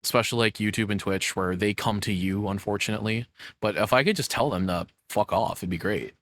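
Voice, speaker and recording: Low-voiced man, casual and sarcastic. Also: brisk, fairly bright tone. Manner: profane, sarcastic, rambling. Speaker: content creator reacting to a video. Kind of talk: casual reaction commentary.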